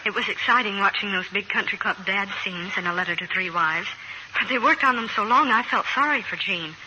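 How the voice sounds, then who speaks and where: A woman's voice: mid-range voice, fairly quick. Young woman guest on noisy vintage radio. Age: young adult. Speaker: actress, interview guest. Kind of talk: 1940s scripted radio promotional chat.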